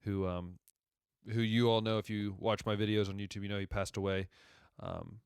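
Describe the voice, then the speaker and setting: Deep-voiced young man, smooth and dry. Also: animated, normal speed, no room sound. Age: 20s. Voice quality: deep radio-style voice. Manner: rambling and conversational, backtracking often. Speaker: podcast host. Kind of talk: casual solo podcast monologue.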